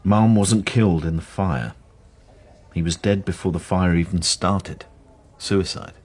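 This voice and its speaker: An actor playing a terse, sceptical police detective who speaks in short questions in a radio drama. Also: male voice, low-pitched, fairly even intonation, measured pace, a little mellow, breathy edge. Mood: grave. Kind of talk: naturalistic radio drama dialogue.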